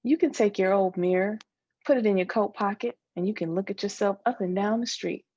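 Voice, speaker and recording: A woman giving an expressive read-aloud of a novel's dialogue, acting out both sides of a conversation. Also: mid-range voice, fairly quick, no room sound, muffled recording. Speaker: guest reader of a novel.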